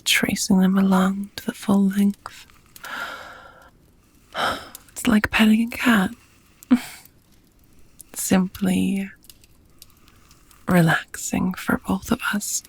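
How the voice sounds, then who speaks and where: A woman acts out a doting, languid vampire lover in an intimate ASMR roleplay. Her voice is very husky and breathy, slipping often into whispers, with a creaky edge. She speaks slowly and tenderly, with a touch of old-fashioned formality. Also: medium pitch, heavily acted. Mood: tender, adoring. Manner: slow, intimate, slightly formal phrasing. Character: affectionate centuries-worn female vampire lover, languid and doting. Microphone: close microphone, dry.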